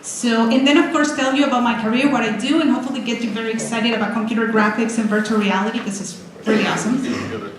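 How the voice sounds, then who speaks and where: A lively woman from Venezuela speaks with excitement, often restarting her sentences as she walks a college audience through her slides. Her voice sits a little high, and it rings out in a very echoey lecture hall with a faint hiss behind it. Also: fast talker. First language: Spanish. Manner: excited, chatty, many restarts. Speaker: guest speaker. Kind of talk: energetic public talk with slides.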